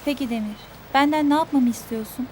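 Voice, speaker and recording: An actress playing a young servant's daughter who is hurt but firm, speaking quietly and reproachfully in a TV drama. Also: female voice, high voice, fairly quick, slight background noise, natural voice. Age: young adult. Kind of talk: TV drama acting. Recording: TV drama with music score.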